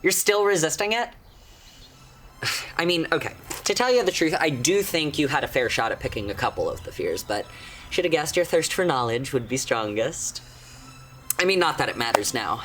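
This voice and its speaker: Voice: mid-range voice, lively intonation, normal speed, a bit bright, soft background music, close to an everyday voice. An actor playing a smug, taunting old friend who has turned to a destructive power, speaking casually and with amusement in a horror drama. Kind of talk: conversational horror audio drama acting.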